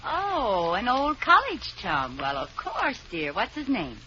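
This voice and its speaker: An actress playing a playful, scheming young wife in a 1940s radio comedy, with a teasing way of talking. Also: female voice, on the high side, wildly swooping pitch, slow, heavy background noise, exaggerated voice. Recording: dull old radio broadcast.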